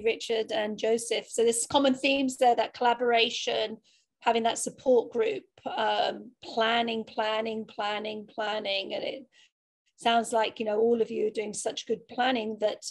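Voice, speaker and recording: A woman's voice: fairly high pitch, level tone, unhurried, vocal fry. A woman moderating a student panel discussion in an online webinar.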